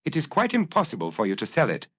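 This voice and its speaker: A man's voice: a little high, wildly swooping pitch, quick pace, fairly bright tone, breathy edge, voice pushed up, exaggerated voice. A man voicing a dry, hard ex-inspector turned blackmailer in an audiobook detective story, speaking with firmness and precision. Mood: relentless, precise. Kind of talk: audiobook character acting.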